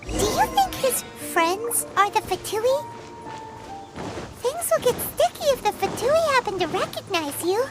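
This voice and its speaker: A woman's voice: very high-pitched, big pitch swings, unhurried, heavily acted. A woman voicing a tiny female fairy companion who talks about herself by name, in a video game. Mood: nervous. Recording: game audio with loud music.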